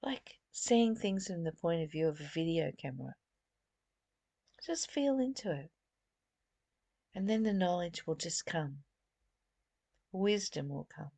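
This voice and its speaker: A woman giving a reflective spiritual lesson, reading and explaining in deliberate, emphatic phrases with pauses and repetition. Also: fairly low pitch, expressive, gravelly, dark tone, dry recording. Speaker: spiritual study teacher.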